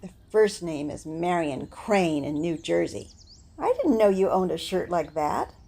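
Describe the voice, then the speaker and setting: An actress playing Bee, a vengeful woman who stings rude people, in a radio drama with a slow, measured delivery. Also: lowish voice, lively intonation, gravelly, mellow, heavily acted. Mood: cold.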